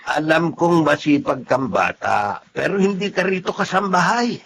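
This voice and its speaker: A man's voice: fairly high pitch, expressive, moderate pace, gravelly, a bit bright, slight background noise. A man playing a fussy but kindly middle-aged family driver in a Filipino radio drama, exclamatory and fondly scolding, with a Filipino accent. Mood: alarmed, fond scolding. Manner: exclamatory, scolding yet fond.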